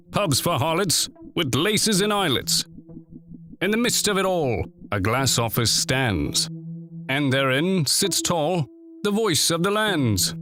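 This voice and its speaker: A man playing a theatrical announcer of a fantastical city, reciting a rhyming scripted show introduction in measured verse. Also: on the high side, exaggerated voice. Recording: loud synthesizer music under the voice.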